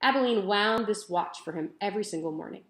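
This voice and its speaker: A woman's voice: medium pitch, fast, a bit bright. Female storybook narrator, mid-range voice, emphatic and careful phrasing, audibly roomy recording. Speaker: teacher reading aloud. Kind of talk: expressive children's book narration. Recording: roomy echo.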